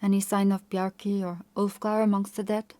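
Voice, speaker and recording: A woman's voice: mid-range voice, little pitch movement, normal speed, mellow, dry recording, clean studio sound, natural voice. A woman voicing a young Norse warrior, stern and questioning, with terse, grave lines in an audiobook. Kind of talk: audiobook character acting.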